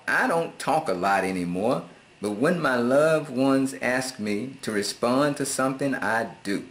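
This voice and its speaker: A man's voice: medium pitch, lively intonation, unhurried, a bit bright. A middle-aged American man reading viewer comments aloud and answering them in a laid-back, amused and sarcastic way. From United States. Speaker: vlogger.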